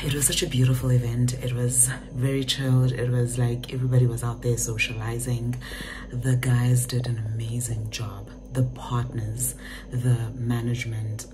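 Hoarse, half-whispering woman with very low voice. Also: noisy background. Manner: chatty, recounting an event. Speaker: vlogger. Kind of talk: casual vlog chat to camera. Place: bedroom, indoors. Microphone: phone held close to face.

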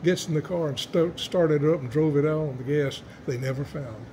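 A man's voice: fairly high pitch, warm tone. Older man guiding a museum tour. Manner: folksy storytelling, halting restarts. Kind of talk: guided tour talk. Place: large indoor museum hall. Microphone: camera held by a visitor nearby. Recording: noisy museum hall.

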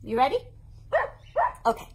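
A woman in her thirties presenting a storytime for young children in an animated, sing-song, encouraging way. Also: unhurried. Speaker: children's librarian hosting an online storytime. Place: small indoor play tent set up for recording.

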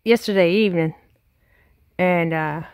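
A woman's voice: lowish voice, a little slow, clear, resonant tone, no room sound. A middle-aged woman with a Southern accent, chatting casually to her phone camera outdoors on her farm, folksy and full of fillers. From Texas. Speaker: farm vlogger. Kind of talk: casual vlog narration. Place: outdoors on a rural farm, by a chicken pen. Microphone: handheld phone camera.

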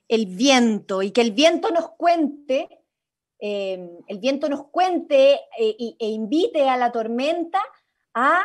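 A young woman with a Chilean accent, guiding a workshop on a video call in an encouraging, informal way. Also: high voice. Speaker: radio theatre workshop leader. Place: indoors at home, on a video call.

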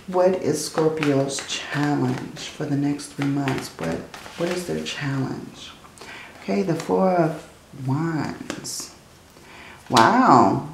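A woman reading tarot cards in a slow, soothing way, her voice tired and strained from many readings. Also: low-pitched, reverberant, background noise. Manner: slow, soothing, often adds "okay?" and "I feel like". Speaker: tarot reader. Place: indoors at a table, hands seen handling cards.

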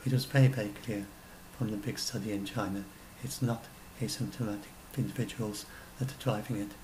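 A man's voice: on the low side, steady tone, fairly quick, a little mellow, slight background noise, low-quality recording. A man in his sixties, a science blogger, talking through his notes on his own at home in a rambling way that keeps correcting itself, recorded on a webcam at his desk. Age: 60s. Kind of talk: casual solo commentary. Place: small room at home.

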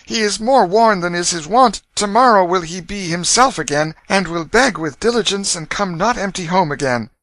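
A man's voice: sits high, animated, normal speed, hardly any pauses, fairly bright tone, no echo, voice pushed up. A man voicing a timid, pleading poor girl in a storybook, meek and old-fashioned in speech. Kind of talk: dramatic storybook reading.

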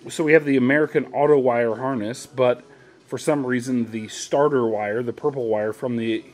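A man's voice: medium pitch, fairly even intonation, moderate pace, darkish tone, recorded from a distance. A young man working in a garage, asking questions and explaining car repairs in a practical way. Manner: practical, questioning, matter-of-fact. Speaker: car restorer and show host. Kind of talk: casual workshop chat. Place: garage workshop. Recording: echoey garage with music.

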